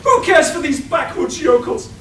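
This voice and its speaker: A woman's voice: high-pitched, very animated, moderate pace, fairly bright tone, a faint crowd. A woman playing a pretentious, melodramatic artificial fashion aesthete in a comic radio play. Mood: gushing. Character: overwrought constructed fashion aesthete, pompous and despairing. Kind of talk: live radio play comedy acting. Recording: echoey room, distant, faint noise.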